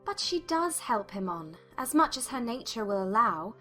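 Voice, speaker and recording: A woman playing a lively, principled young gentlewoman in an audiobook drama, quick to object in earnest, formal period diction. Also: on the high side, soft background music, exaggerated voice. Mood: firm objection.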